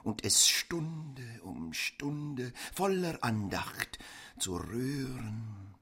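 An actor playing a solemn narrator who recites poetic lines with drawn-out, priestly reverence in a radio play. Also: male voice, mid-range voice, very animated, pushed and bright, in a large hall, exaggerated voice. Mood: solemn rapture.

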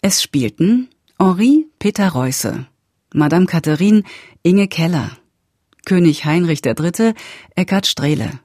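Low, slow, husky woman announcer. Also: mellow, no echo, clean studio sound. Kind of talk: scripted radio drama credits. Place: dry studio. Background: music.

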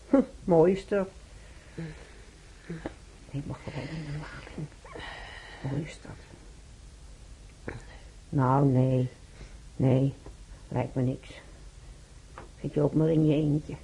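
A woman's voice: low voice, steady tone, vocal fry, dark tone, breathy edge, heavily acted, poor audio. An actress playing a tired but hopeful female hospital patient in a radio play, weary and plain-spoken. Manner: slow, weary.

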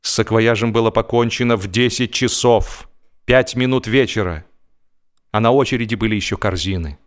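A man narrating a humorous story in an expressive, theatrical way, with dry comic timing and drawn-out pauses. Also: lowish voice. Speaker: narrator, audiobook reader.

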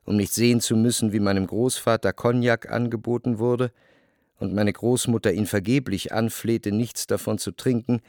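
An actor playing a reflective, melancholy male narrator, reading scripted first-person literary prose for a radio drama in measured, formal phrasing. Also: lowish voice, fairly even intonation, darkish tone, dry recording, studio quality, close to an everyday voice. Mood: detached recollection.

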